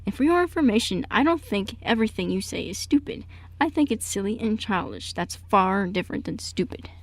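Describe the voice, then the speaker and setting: A woman's voice: medium pitch, animated, brisk, no echo, low-quality recording. A woman playing a prim, protective and easily flustered older uncle in an amateur radio play, with a fussy, exasperated delivery.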